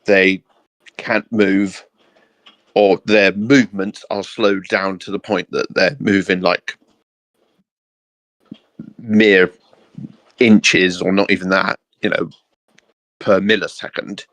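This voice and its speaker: A man's voice: deep voice, flat delivery, slow, pauses often, fairly bright tone, no room sound. A man co-hosting a casual, geeky discussion, explaining his ideas informally. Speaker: channel co-host.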